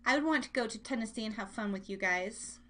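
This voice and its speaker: Woman with a highish voice hosting a live show, chatty n playful, rambles and cuts herself off, talks right to listeners. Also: fast talker, a bit bright. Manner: playful, rambling, self-interrupting, addresses listeners directly. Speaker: live radio and podcast host. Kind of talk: casual live show chat with chat room.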